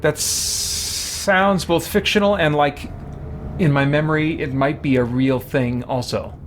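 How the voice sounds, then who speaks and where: A man hosting a game stream, chatting casually and answering viewers in a halting, think-aloud way. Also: on the high side, steady tone. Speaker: video game livestream host. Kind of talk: casual gameplay chat, answering viewer questions. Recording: clearly noisy background.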